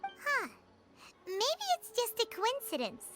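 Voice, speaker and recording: A woman voicing a small, excitable, childlike fairy companion with a squeaky voice in a video game. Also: slow, over music, heavily acted. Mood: chatty, confident. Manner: refers to self in third person, expressive.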